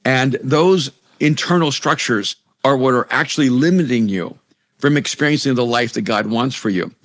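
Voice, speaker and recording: A man's voice: mid-range voice, moderate pace. Earnest man with frequent restarts. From El Salvador. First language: Spanish. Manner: earnest, many restarts and repeated words. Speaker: author and pastor guest. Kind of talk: conversational interview.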